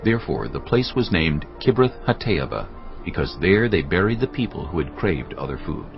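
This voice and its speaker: A man narrating scripture from a script in a formal, measured storytelling manner. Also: low voice, very animated, gravelly, muffled recording. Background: music.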